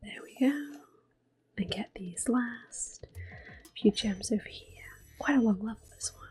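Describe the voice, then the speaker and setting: A woman hosting a relaxing game playthrough, talking mostly in a gentle, unhurried whisper with small exclamations here and there. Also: mid-range voice, husky, faint music behind. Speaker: gaming ASMR host. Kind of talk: soft whispered game commentary.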